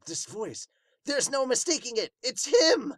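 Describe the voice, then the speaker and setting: A man voicing an urgent, alarmed warrior companion in a manga dub, excitable and dramatic. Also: very high-pitched, measured pace, voice pushed up, exaggerated voice.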